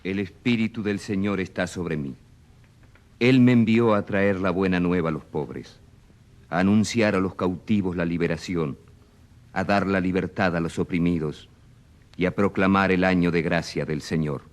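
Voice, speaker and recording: A man acts as a solemn Christ speaking scripture in a radio play. The voice is low and declamatory. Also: moderate pace, natural voice. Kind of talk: radio drama scripture recitation.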